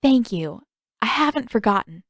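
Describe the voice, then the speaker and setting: A woman voicing a gracious, sweet young princess in a fantasy audiobook, speaking politely and with gentle formality. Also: fairly high pitch, lively intonation, normal speed, dry recording, studio quality. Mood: polite, reassuring.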